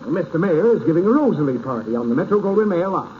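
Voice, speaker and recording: A man's voice: on the high side, sing-song, fast. An actor playing a fussy, easily flustered father in a 1930s radio comedy, pleading and exasperated. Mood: coaxing, exasperated. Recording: muffled, noisy 1930s radio broadcast.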